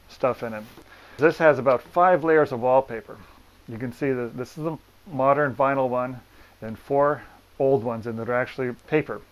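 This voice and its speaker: A man with a mid-range voice walks through a repair job in a room of a house. He explains each step plainly and practically, pausing deliberately as he goes. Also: mid-range voice, muffled recording. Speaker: plaster repair business owner and presenter. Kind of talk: hands-on walkthrough explanation to camera. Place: room inside an old house.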